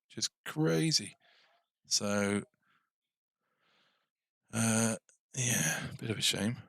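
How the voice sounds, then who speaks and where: A man's voice: lowish voice, creaky, fairly bright tone, dry recording, studio quality. A man casually thinking aloud as he hosts a game coding tutorial livestream. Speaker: game development tutorial host.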